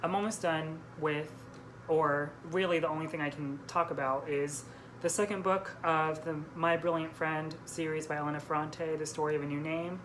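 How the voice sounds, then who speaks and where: A young woman with a notably low voice hosts a relaxed chat about books. She talks hesitantly, often backing up to correct herself. The recording carries clear background noise. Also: moderate pace. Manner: hesitant, self-correcting, hedging. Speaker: book vlogger, host reading out questions. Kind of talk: casual book chat with a friend. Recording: clearly noisy room recording.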